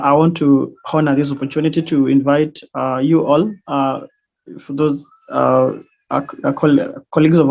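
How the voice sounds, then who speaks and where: A man's voice: medium pitch, creaky, a little mellow. A man, hesitantly introducing people in an online meeting. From Kenya. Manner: hesitant, many fillers and restarts. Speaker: student organizer. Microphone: video call. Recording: dull lo-fi video call audio.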